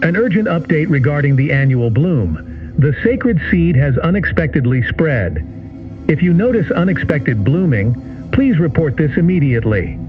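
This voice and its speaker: A man voicing a formal emergency broadcast announcer for a horror series, reading warnings in a flat official manner. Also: on the high side. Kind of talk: played clip from an analog horror series. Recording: tinny lo-fi broadcast with music.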